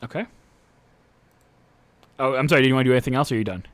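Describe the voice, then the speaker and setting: A man's voice: medium pitch, rapid-fire, fairly bright tone, no echo. A young man runs a tabletop game, stopping often to think and restart his sentences. He is recorded close and dry, with no room sound. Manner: hesitant, lots of uh and restarts. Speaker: dungeon master.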